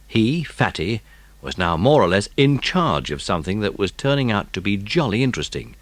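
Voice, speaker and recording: A man's voice: fairly low pitch, very animated, moderate pace, no echo. A man with a British accent narrating a children's story in a scripted audiobook style.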